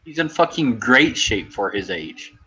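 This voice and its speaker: A man in casual online chat, animated and argumentative, saying "dude" a lot, over a headset. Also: medium pitch, a little slow, slightly rough, dull audio. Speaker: lobby chat participant. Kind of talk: casual banter.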